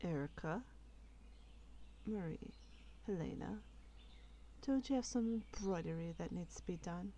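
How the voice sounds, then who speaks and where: Woman doing a low, imperious noble lady. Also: lively intonation, measured pace, pauses often, dark tone, a little hiss or hum. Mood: scornful, commanding. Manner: imperious, clipped, condescending. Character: haughty, cold, scornful noble lady. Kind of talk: ASMR roleplay acting.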